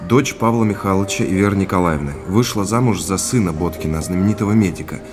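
A man acting as an earnest, inquisitive businessman with a fairly low voice. He speaks politely and evenly, as in everyday conversation, in a naturalistic audio play with prominent music underneath. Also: fast, natural voice. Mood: eager, respectful. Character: earnest, inquisitive businessman tracing his family's past.

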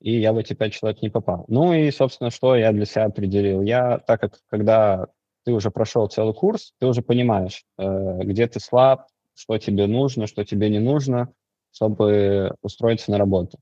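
Mellow, lowish young man in conversation. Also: normal speed, no echo, dull audio. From Vitebsk region, Belarus. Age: 20s. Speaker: interview guest, Android developer. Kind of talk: casual conversational answers.

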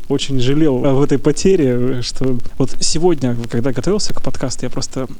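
Mellow-voiced man chatting, some background noise. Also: mid-range voice, a little fast. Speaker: podcast co-host. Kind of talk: casual conversation with personal recollections.

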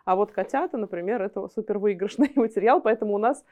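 A woman's voice: mid-range voice, fast, dark tone. A woman being interviewed as a guest, explaining things conversationally and often rephrasing herself mid-sentence. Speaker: interview guest from an animation studio.

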